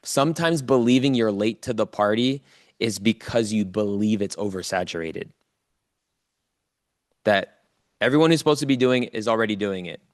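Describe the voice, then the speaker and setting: A man's voice: fairly low pitch, lively intonation, normal speed, long pauses, no room sound, clean studio sound. A man giving a motivational coaching talk to a live online audience, speaking informally and directly to listeners and repeating key phrases for emphasis.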